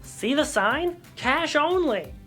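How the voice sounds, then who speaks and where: A woman's voice: sits high, expressive, takes it slowly, a bit bright, soft background music, heavily acted. A woman playing a pompous, pedantic male collectibles seller who is grudging and indignant, in a comedic audio drama. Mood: officious.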